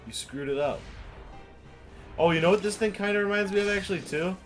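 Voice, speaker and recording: A man's voice: high-pitched, fairly quick, faint music behind. A man co-hosting a gaming show, chatting casually over gameplay in a teasing, opinionated, rambling way.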